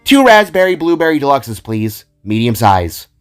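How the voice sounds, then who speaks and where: A man voicing a bold, excitable young female vigilante guitarist, breezy, boastful and casual. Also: medium pitch, moderate pace, clear, resonant tone, close to an everyday voice. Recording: music behind the voice.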